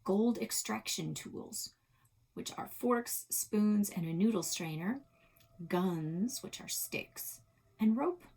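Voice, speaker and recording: A woman reading a children's novel aloud as a storytelling host, with expressive delivery. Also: mid-range voice, takes it slowly, creaky, low-quality recording. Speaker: library read-aloud host.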